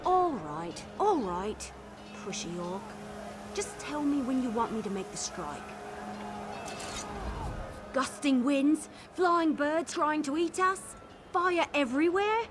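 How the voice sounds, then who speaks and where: A woman's voice: sits high, lively intonation, takes it slowly, pauses often, music in the background. An actress playing a cocky young female wood elf archer in a video game, sardonic and breezy. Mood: exasperated. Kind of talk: scripted video game dialogue.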